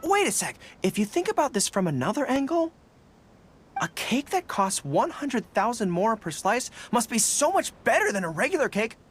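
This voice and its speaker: A woman voicing a youthful, earnest and modest dog-eared general in a video game, expressive and a little hesitant, with music behind. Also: mid-range voice, moderate pace, husky. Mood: hesitant, surprised. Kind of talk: scripted game dialogue. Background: background music.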